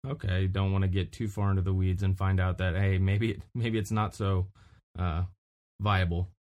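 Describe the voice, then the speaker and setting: A man hosts a casual interview, speaking in a somewhat low voice. He asks questions and adds brief, encouraging remarks. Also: little pitch movement, normal speed, darkish tone. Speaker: podcast host.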